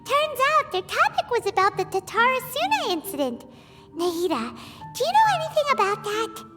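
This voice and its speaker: A woman voicing a tiny excitable girl companion creature who speaks of herself in the third person, in a video game scene. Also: moderate pace, heavily acted. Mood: chatty. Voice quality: squeaky high voice. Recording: loud game music under voice. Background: game background music.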